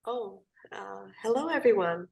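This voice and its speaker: A woman's voice: mid-range voice, expressive, a little slow, gravelly, darkish tone. A woman panelist and course co-founder giving a brief, hesitant introduction of herself. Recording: distant microphone.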